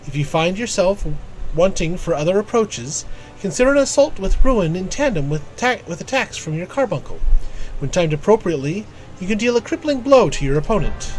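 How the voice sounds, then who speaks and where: A man's voice: high voice, big pitch swings, a little fast, faint music behind, voice pushed up, rough recording. A man voicing a scholarly, exacting guild mentor from a fantasy game, lecturing a pupil in formal, old-fashioned phrases. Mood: instructive, formal. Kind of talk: reading game quest dialogue aloud.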